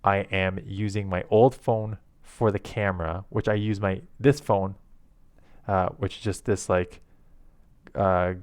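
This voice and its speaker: Low-key monotone man. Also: unhurried, clear, resonant tone, no room sound. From Canada. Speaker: YouTube content creator. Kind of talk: casual rambling talk to camera. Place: home desk setup. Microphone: old headset microphone.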